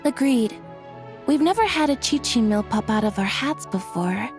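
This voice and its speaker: A woman's voice: fairly high pitch, lively intonation, normal speed, lightly acted. A woman voicing an upbeat young stage magician who coaxes and persuades cheerfully. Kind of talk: video game voice acting. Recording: soft game music behind.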